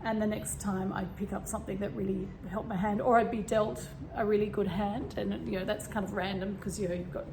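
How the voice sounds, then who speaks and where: A woman with a slightly croaky voice talking casually and meanderingly about spirituality, with many fillers and throat clearing. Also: mid-range voice, a little fast, hardly any pauses. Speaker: spiritual vlogger. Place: home room with a fan running. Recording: steady fan hum behind. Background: fan noise.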